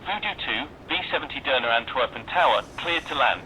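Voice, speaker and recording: A terse, impersonal air traffic controller, played by a man, for a flight game. The voice sits at medium pitch and delivers formulaic clearances and advisories through a radio filter, with clear noise around it. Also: lively intonation, fairly quick. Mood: terse, procedural. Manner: terse, procedural. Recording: noisy aircraft radio transmission.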